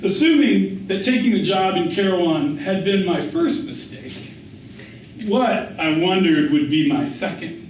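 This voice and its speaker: A man reading a literary memoir aloud as its author, with a measured storytelling delivery. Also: high voice, lively intonation. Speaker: author reading his essay. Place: indoor public reading. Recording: echoey room, distant mic, faint noise.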